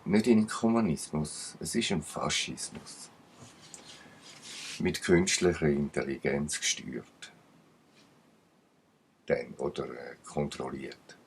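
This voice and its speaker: Swiss German male commentator, very deep voice, husky tone. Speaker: channel commentator. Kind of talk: rambling spoken commentary.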